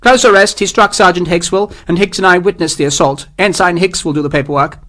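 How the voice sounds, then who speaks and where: A man's voice: high voice, fairly quick, sharp tone, voice pushed up. A man voicing a scheming army captain who gives orders in a clipped, commanding way.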